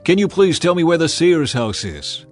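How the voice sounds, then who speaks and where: A man's voice: a little high, big pitch swings, moderate pace, faint music behind, voice pushed up. A man playing a humble, doubtful young Israelite man in a dramatized scripture reading. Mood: humble, puzzled.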